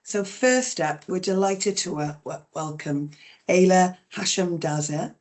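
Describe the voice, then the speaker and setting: A woman's voice: lowish voice, unhurried, darkish tone. A woman hosting a webinar, reading out a scripted introduction. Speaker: webinar host.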